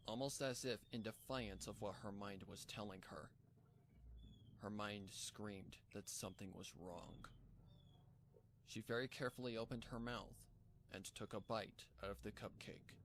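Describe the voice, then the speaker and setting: A man with a fairly low voice narrates a dark horror story from a script. There is some noise behind his reading. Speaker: fan fiction narrator. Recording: noticeable background noise.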